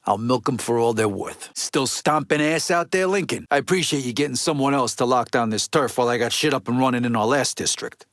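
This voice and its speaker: An actor playing a gruff, resentful mob lieutenant in a video game, with an American accent and a blunt, streetwise, foul-mouthed way of talking. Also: male voice, mid-range voice, fairly quick, hardly any pauses, fairly bright tone, no echo, clean studio sound, close to an everyday voice. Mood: wry, businesslike. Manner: blunt, streetwise, heavy swearing.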